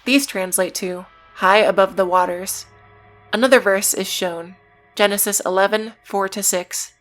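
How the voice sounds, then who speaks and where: A woman presents a scripted video essay in a mid-pitched voice that stays fairly flat. Her delivery is conversational, with casual asides to the viewer. Faint music plays behind her. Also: measured pace, low-quality recording. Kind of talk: scripted video essay narration with read-out quotes. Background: background music.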